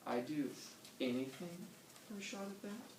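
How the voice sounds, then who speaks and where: Guy doing a coy gushing starlet girl, high squeaky tone, roomy echo, faint hiss. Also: animated, normal speed, darkish tone. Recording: echoey room, distant mic, faint noise.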